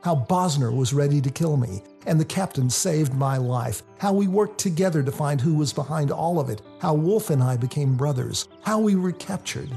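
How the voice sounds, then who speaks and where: A man narrates a first-person story in a confessional, expressive way. His voice is dark and mellow with a heavy gravelly fry, and soft music plays underneath. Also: fairly high pitch, moderate pace, hardly any pauses. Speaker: author reading their own novel.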